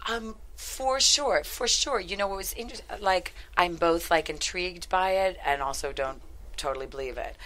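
Low-voiced woman, halting and casual. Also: a bit bright. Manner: halting, self-correcting. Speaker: screenwriter interviewed as guest. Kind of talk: casual interview chat. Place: talk-show studio couch. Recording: faint noise, studio ambience.

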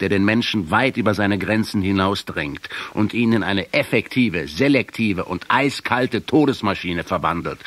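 An actor playing an accusing male intellectual in a radio drama, speaking in a deliberate, articulate way. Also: lowish voice, fairly bright tone, dry recording. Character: accusing male intellectual, professor quoting history at the commander.

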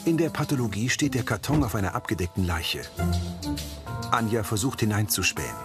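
A man's voice: on the low side, lively intonation, brisk, over music. A man reading scripted audio description narration for a TV series.

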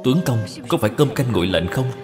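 A man's voice: medium pitch, lively intonation, fast talker, lightly acted. A man voicing a patient, dutiful young wife who counsels her husband politely. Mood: patient, soothing. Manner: soothing, polite persuasion. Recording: long reverb, music behind.

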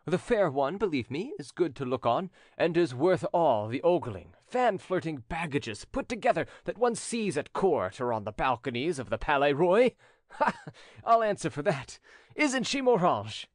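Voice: medium pitch, very animated, moderate pace, no echo, clean studio sound, pitched above the performer's own voice, lightly acted. A narrator playing a boastful, breezy older gallant, a commander with a flamboyant manner, in a storybook.

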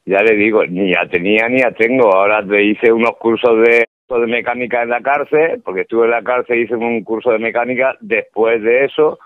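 A man's voice: medium pitch, steady tone, normal speed, dry recording. A middle-aged man with a Spanish accent talking bluntly on a phone call, often repeating himself. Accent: Spain. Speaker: prank call victim. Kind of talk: irritated phone conversation. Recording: tinny phone line.

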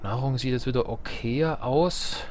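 A man's voice: mid-range voice, measured pace, darkish tone, a little hiss or hum. A man giving casual gameplay commentary, muttering to himself as he thinks aloud. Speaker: Let's Play gamer.

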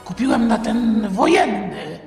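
A woman's voice: mid-range voice, sing-song, unhurried, vocal fry. A woman voicing a grumbling, condescending grown man who will be king, in a children's history story. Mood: blunt. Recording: echoing hall effect, music behind.

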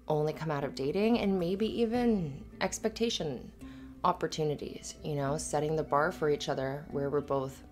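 A low female voice over faint music , a woman acting the part: an affectionate live-in girlfriend in an intimate ASMR roleplay. She is earnest and a little anxious, talking in a conversational, hesitant ramble. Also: normal speed, close to an everyday voice. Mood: tentative, fond. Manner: rambling, hesitant, giggly asides. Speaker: audio roleplay performer.

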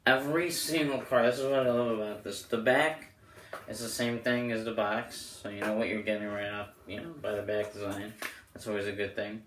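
A young man with a hoarse voice giving a casual, rambling product review to camera as the host. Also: on the low side, fairly even intonation, brisk, crowd noise. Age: young adult. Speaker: host of playing card deck reviews. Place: small bedroom, indoors. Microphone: camera mic a short way off. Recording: roomy echo, clear background noise.

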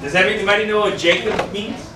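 A man's voice: high-pitched, fairly even intonation, fast talker, noisy background. A man in his thirties, a youth pastor with a stuffed-up nose, talking casually to a youth group and asking it questions. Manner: conversational, asks the audience questions, gestures widely. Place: small meeting room with a youth group. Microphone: far camera, no mic. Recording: echoey room from a distant camera.

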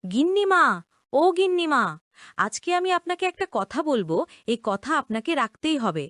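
A woman's voice: high voice, big pitch swings, moderate pace, a bit bright, dry recording, clean studio sound. A woman playing an outspoken, hard-working young housemaid in a cartoon drama, complaining in an emphatic, insistent way. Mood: insistent, indignant.

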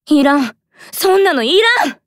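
An actress playing a jealous, devoted fox spirit girl in a Japanese voice drama, speaking a western Japanese dialect with drawn-out, intense emotion. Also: high voice, lively intonation, no room sound, studio quality. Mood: desperate, possessive.

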